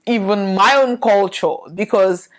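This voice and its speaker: A woman with a Nigerian accent talks through her opinions alone as a podcast host. She is thoughtful and keeps posing rhetorical questions to her listeners. Her voice sits at an ordinary middle pitch for a woman. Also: a little slow. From northern Nigeria. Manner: reflective, asks many rhetorical questions. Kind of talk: solo opinion commentary to camera.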